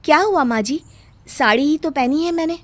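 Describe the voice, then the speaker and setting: A woman's voice: high voice, normal speed, no echo, pitched above the performer's own voice, close to an everyday voice. A woman voicing a young daughter-in-law in a cartoon story, pleading and earnest. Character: young wife, frustrated but caring daughter-in-law.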